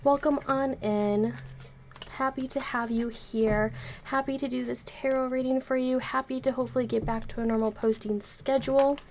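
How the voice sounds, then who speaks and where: A woman's voice: high voice, fairly even intonation, normal speed, darkish tone, slight background noise. A woman, congested and recovering from being sick, giving a chatty, rambling tarot reading to camera as the channel's host. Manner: chatty, rambling, many fillers. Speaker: tarot reader.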